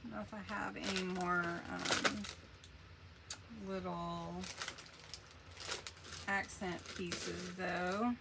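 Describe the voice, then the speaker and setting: A woman's voice: fairly low pitch, little pitch movement, takes it slowly, vocal fry, pauses often, heavy background noise. A woman hosting a casual craft livestream, chatting along in a rambling way as she works and answers viewer comments.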